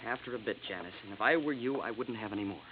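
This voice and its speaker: Through a noisy, dull old radio recording comes a man playing a strained young husband. He speaks quickly and formally, disapproving and apologetic, in period radio-drama style. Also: fairly low pitch, natural voice. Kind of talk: 1950s American radio drama acting.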